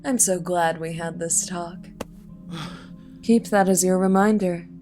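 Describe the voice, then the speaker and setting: An actress plays a female mercenary boss with a low, dark voice. She speaks very slowly and deliberately, with condescending courtesy and cold menace. Faint music runs underneath in this audio drama. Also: steady tone, close to an everyday voice. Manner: deliberate, condescending, breathy at times.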